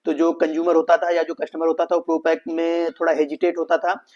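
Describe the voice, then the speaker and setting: A dull, lo-fi recording of an Indian man with a slightly high voice. He talks quickly as he explains financial results, addressing his viewers as friends. English business terms are mixed into his speech. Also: monotone, a little mellow. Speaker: financial analysis presenter. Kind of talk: explainer commentary on an earnings call.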